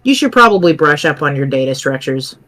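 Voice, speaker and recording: A woman voicing a cocky, deadpan teenage boy in an amateur dramatic reading of a webcomic. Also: low voice, brisk. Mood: cool, cocky. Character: cool, cocky teenage boy chatting online, teasing and bragging.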